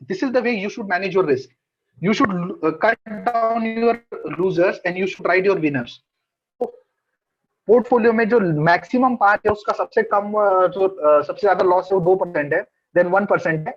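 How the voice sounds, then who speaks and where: A man teaching trading over a video call, his voice pitched high and a little dull through lo-fi call audio. He talks in a chatty way, mixing Hindi and English and repeating his points. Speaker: trading mentor. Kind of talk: casual online trading class.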